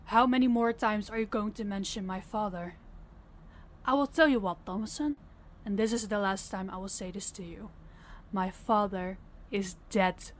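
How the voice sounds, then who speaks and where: Stern female crime boss, played by a woman, mid-pitched, blunt and commanding, audio drama. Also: natural voice. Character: stern, fed-up female crime boss scolding her subordinate. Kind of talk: audio roleplay acting.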